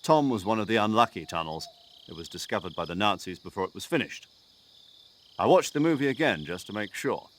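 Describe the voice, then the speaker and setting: An actor playing a talkative military commander giving a mission briefing over the radio in a game cutscene. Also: male voice, on the low side, expressive, fairly quick, a little hiss or hum, lightly acted. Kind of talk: video game cutscene acting.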